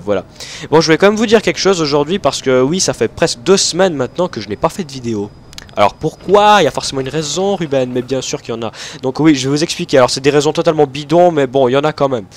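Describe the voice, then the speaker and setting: A young man with a blocked nose from hay fever, chatting casually and rambling over gameplay, with many fillers and self-corrections. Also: medium pitch. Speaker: gaming video host.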